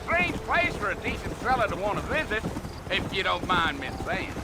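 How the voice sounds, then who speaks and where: A man's voice: high voice, big pitch swings, moderate pace, very noisy. A man playing a folksy, talkative old-west trail guide in a video game. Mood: chatty, folksy. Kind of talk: video game western dialogue acting.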